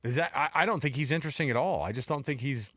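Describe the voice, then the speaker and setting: A man's voice: mid-range voice, racing, a bit bright, no echo. A man with an American accent and a mid-range voice co-hosts a sports talk show. He speaks casually and with firm opinions, using slang and throwing out questions. The sound is dry and close. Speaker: sports talk radio co-host.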